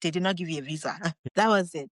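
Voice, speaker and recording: A young Nigerian woman, a guest on an interview podcast, telling her story in a relaxed, chatty way and laughing often. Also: on the low side, fast, dry recording, clean studio sound. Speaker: guest, English teacher in Japan.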